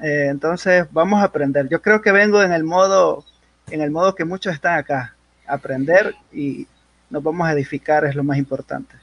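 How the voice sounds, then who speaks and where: A man who serves in a church introduces himself on a video call. His voice sits a little high for a man, and he stops often on fillers while he searches for words. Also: highs cut off. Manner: halting, many fillers. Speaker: guest panelist, church worker. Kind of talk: self-introduction on an online panel.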